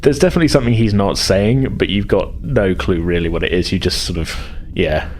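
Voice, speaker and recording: A man acting as game master, narrating a tabletop game casually in a halting, explanatory way. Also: low-pitched, a little hiss or hum.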